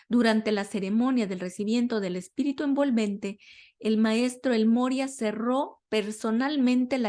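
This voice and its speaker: A Latin American woman teaching a metaphysics class in a conversational way, often pausing to search for words and correct herself. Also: a little high, darkish tone. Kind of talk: informal spiritual class talk.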